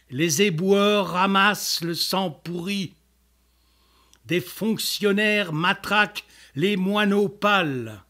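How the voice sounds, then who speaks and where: Male poet, notably high voice, solemn declamatory recitation, extremely slow delivery. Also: a bit bright. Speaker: poet reciting own poem.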